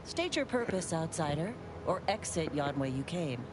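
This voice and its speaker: A prim, haughty scientist. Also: female voice, sits low, sing-song, moderate pace. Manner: prim, old-fashioned and formal, wordy. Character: haughty fussy female scientist on an island. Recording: noisy game ambience.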